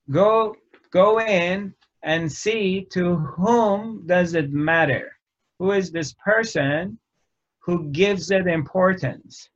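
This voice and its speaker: A man giving a reflective spiritual talk, speaking in short phrases with frequent pauses. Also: sits high. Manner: slow, measured, pausing often between phrases. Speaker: spiritual teacher. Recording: dull lo-fi recording.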